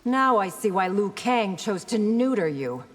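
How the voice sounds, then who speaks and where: A woman's voice: medium pitch, big pitch swings, unhurried. A woman playing a righteous, disdainful warrior princess in a video game, speaking formally and resolutely in condemnation. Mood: stern disdain. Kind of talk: video game drama acting. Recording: long hall reverb, music behind.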